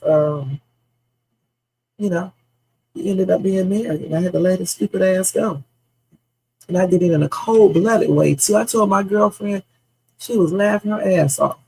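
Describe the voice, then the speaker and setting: A woman's voice: medium pitch, vocal fry, warm tone, breathy edge, low-quality recording. A woman in her fifties hosting a livestream, chatting in a blunt, salty, meandering way that mixes stories with life advice. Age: 50s. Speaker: livestream host. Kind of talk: rambling casual livestream chat.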